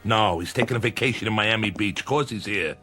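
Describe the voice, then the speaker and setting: An actor playing a menacing bookmaking boss in a radio crime drama, with weary sarcasm and veiled threats. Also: male voice, lowish voice, fairly quick, sharp tone, faint music behind. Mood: aggrieved, threatening. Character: menacing, sardonic male gangland bookmaking boss. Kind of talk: old-time radio crime drama acting.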